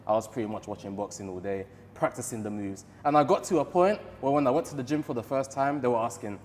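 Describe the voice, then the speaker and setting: Fast-talking young East London man. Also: medium pitch, darkish tone, big-room sound, a little hiss or hum. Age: 20s. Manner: laid-back, chatty, peppers speech with "you know what I mean". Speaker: podcast guest, boxer. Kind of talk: casual chat. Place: boxing gym.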